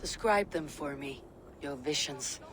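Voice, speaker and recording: A man playing a loyal, grave Viking warrior in a video game, measured and earnest in speech. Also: on the high side, close to an everyday voice. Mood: troubled, earnest. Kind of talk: dramatic video game cutscene acting. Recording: loud sea and wind noise behind.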